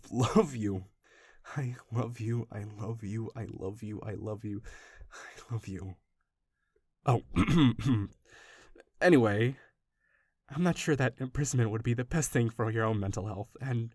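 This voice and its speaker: Man playing a doting lovestruck kidnapper, mid-pitched, affectionate rambling delivery, obsessive, awkwardly gentle, audio roleplay, dry close recording. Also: unhurried, long pauses, slightly rough, no room sound. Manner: gushing, rambling, affectionate, self-interrupting. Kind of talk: audio roleplay addressed directly to the listener.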